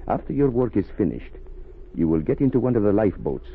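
Spy ringleader, very low, animated pitch, husky, stern, commanding, 1930s radio acting, tinny recording. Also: male voice, fast talker, full character voice. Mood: stern, impatient. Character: cold, domineering male spy ringleader.

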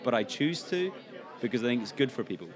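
A man's voice: mid-range voice, animated, quick pace, crowd noise. A man with a Scottish accent, a church planter, explaining in a discussion and asking himself rhetorical questions. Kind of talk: podcast discussion.

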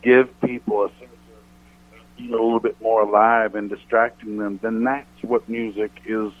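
A man with a deep voice, a country singer chatting casually as a radio guest, rambling thoughtfully with many restarts. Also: level tone, normal speed. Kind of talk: casual radio interview chat. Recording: tinny phone-line sound.

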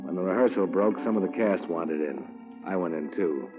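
Low-voiced hard-boiled investigator, old radio. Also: male voice, animated, brisk. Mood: wry narration. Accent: American. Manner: terse, hard-boiled, wry. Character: tough, dryly wry male insurance investigator. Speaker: lead actor and narrator of a detective serial. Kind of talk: 1950s radio detective drama acting. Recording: tinny vintage 1950s radio, music behind.

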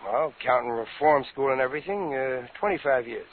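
A man's voice: medium pitch, a little fast, natural voice. An actor playing a cocky, slangy ex-convict crook in his fifties in a 1950s radio drama. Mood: feigned contrition. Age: 50s. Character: tough, wisecracking 51-year-old ex-convict crook. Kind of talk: 1950s radio drama acting and first-person narration. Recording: tinny, noisy old radio broadcast.

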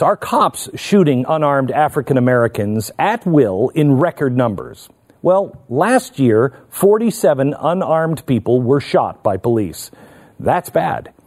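A man's voice: on the high side, darkish tone. A man delivering a political commentary monologue as a host, emphatic and fond of rhetorical questions.

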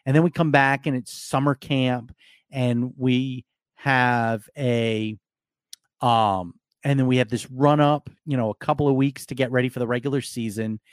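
A hoarse man hosting a live sports podcast, talking casually and rambling with restarts and fillers. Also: medium pitch, fairly even intonation, unhurried, no room sound, studio quality.

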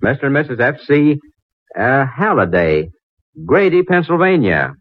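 An actor playing an excitable, astonished man in a theatrical 1940s radio drama, speaking with urgent emphasis. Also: medium pitch, normal speed, no echo. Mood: excited, amazed. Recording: muffled narrow-band 1940s radio recording.

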